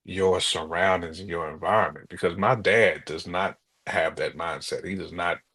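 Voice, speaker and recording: An American man co-hosting a podcast in casual conversation, telling things in an animated, colloquial way with imagined quotes. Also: low voice, moderate pace, husky. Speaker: podcast co-host.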